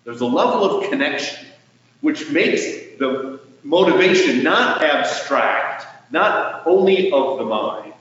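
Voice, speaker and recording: A man preaching a sermon as a pastor, speaking in a measured, earnest way with emphatic swells and soft endings to phrases. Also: fairly high pitch. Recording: distant mic in echoey hall, dull, faintly noisy.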